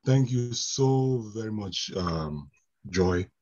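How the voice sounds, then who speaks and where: A man who is a student in an IT training program, sharing his thoughts on a webinar in a thoughtful way, with many filler pauses. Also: on the low side, lively intonation, darkish tone, dull audio. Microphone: video call.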